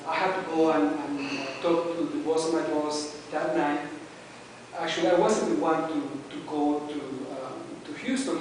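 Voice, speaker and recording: A man from Mexico, a native Spanish speaker with a Mexican accent, giving a hesitant personal speech to a club with many pauses and restarts. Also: a little high, slight background noise. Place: meeting room. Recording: echoey room recorded from far off.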